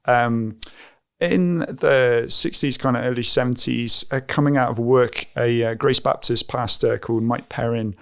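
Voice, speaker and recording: A man's voice: medium pitch, steady tone, measured pace, creaky, a bit bright, smooth. A man working as a pastor, answering interview questions thoughtfully and hesitantly, with plenty of fillers and restarts. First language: English. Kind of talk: conversational interview.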